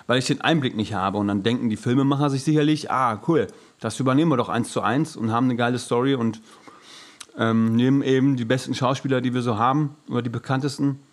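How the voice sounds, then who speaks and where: Man, average pitch, casual podcast chat, colloquial, hedging, conceding then countering. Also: quick pace. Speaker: podcast co-host.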